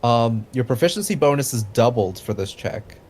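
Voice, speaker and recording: A man's voice: medium pitch, expressive, moderate pace, a little mellow. A man narrating a tabletop game as game master, with casual asides. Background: music.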